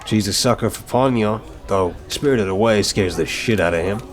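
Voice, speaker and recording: Man playing a low-voiced, teasing mafia boss sweetheart. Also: normal speed, close to an everyday voice. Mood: casual, affectionate.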